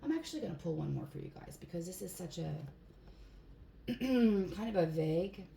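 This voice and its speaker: A woman with a hoarse voice giving a casual tarot card reading in a relaxed, chatty way, with plenty of fillers. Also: on the low side, moderate pace. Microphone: phone. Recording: echoey room, faint background hiss.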